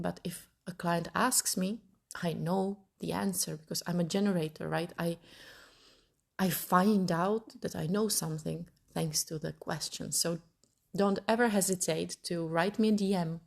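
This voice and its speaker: Slow, thoughtful woman from Italy. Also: fairly low pitch, creaky, darkish tone. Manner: thoughtful, searching for words. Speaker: podcast host. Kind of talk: personal podcast monologue.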